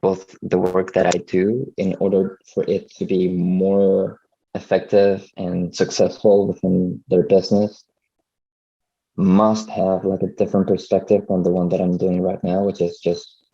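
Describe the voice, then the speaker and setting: A man's voice: deep voice, monotone, a little slow, warm tone, slightly rough, highs cut off. A man from Venezuela, a video editor talking over a call in a hesitant way, restarting his words often. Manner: hesitant, frequent restarts and stammers. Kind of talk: casual video call conversation.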